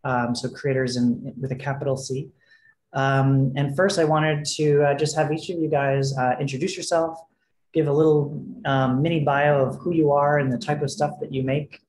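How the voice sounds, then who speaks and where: A man's voice: mid-range voice, normal speed. Panel moderator, male, loose and chatty, roomy video-call audio with faint street noise behind. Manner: relaxed, filler-heavy. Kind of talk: online panel discussion. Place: home room, video call.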